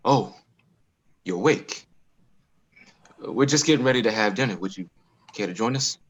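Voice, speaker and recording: A man playing a protective, hospitable father surviving a zombie apocalypse in a table read, with a husky voice. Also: lowish voice, lively intonation, moderate pace. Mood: calming, apologetic. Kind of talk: dramatic comic-script table read.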